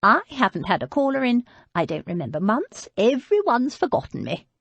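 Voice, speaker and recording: Frail old aristocratic lady, tart and haughty, higher, lively, breathy delivery, voiced by a woman narrator. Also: moderate pace, dry recording, dull audio, full character voice. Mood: proud, forlorn. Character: frail proud elderly aristocratic lady, sharp-tongued.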